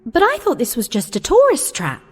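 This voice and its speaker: A woman's voice: high voice, wildly swooping pitch, moderate pace. A woman playing an earnest, incredulous new scheduling worker in a comedic audio drama, questioning emphatically. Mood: puzzled. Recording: long reverberant hall sound.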